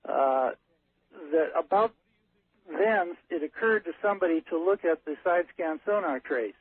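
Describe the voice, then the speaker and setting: Husky male caller on a narrow phone line. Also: fairly high pitch, animated. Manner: halting, matter-of-fact, technical. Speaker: caller, ship's captain. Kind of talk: unscripted storytelling on a call-in show. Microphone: telephone.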